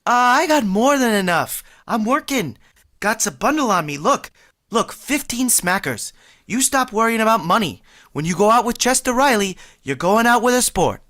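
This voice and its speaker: A man's voice: high-pitched, very animated, moderate pace, pushed and bright, exaggerated voice. A man playing a blustering, stammering show-off. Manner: blustering, stammering when nervous. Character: boastful, flustered working-class man on a date. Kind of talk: vintage radio comedy acting.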